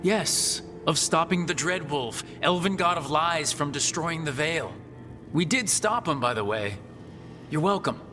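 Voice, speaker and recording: An actor playing a young rebel hero in a fantasy game, conversational, cheeky and earnest. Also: male voice, a little high, expressive, unhurried, music in the background. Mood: defiant, flippant. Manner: flippant, conversational.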